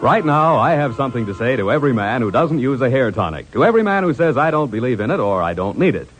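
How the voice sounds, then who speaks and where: A man's voice: mid-range voice, very animated, quick pace, soft background music. A man announcing a 1940s radio advertisement in an emphatic salesman's style.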